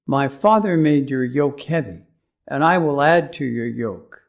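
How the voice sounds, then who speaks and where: A man narrating a scripted Bible lesson as author's notes, reading names and quotations with deliberate emphasis. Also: fairly high pitch, vocal fry, breathy edge.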